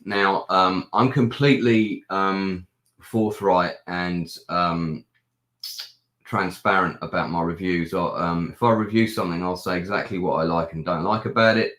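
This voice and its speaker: A man with a croaky, ill-sounding voice, chatting casually through a film review with many pauses and fillers. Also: deep voice, steady tone, fairly bright tone. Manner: rambling with many ums and restarts. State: hoarse, ill with a sore throat. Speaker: film reviewer and channel host. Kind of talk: casual live-stream review chat. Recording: echoey room.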